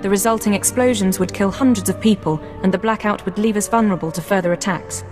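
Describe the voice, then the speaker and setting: An actress playing an earnest, composed intelligence analyst in a video game, precise with slight hesitance. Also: female voice, mid-range voice, fast talker, natural voice. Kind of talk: video game cutscene acting. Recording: reverberant hall, faint music underneath.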